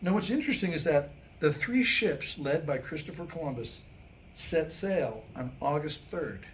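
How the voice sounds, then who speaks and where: Wry man, slightly high voice, noisy room. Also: lively intonation, moderate pace, fairly bright tone. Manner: wry, joking, rhetorical. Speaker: writer reading a comedic script aloud. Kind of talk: staged reading mixing comic monologue and history storytelling. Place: indoor staged reading with a few listeners reacting.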